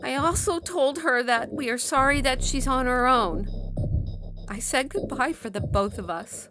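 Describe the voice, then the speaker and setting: A woman's voice: high voice, a little slow, over music. A woman acting an anguished, worried mother in an audio science fiction story. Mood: regretful. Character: anguished, worried mother of a time-separated daughter. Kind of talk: audio fiction dramatic reading.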